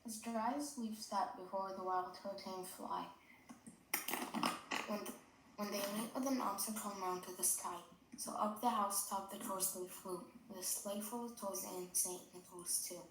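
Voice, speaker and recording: A woman reading a poem aloud in an animated group recitation. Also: medium pitch, normal speed. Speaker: reader in a readers theatre group. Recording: echoey room with clear noise.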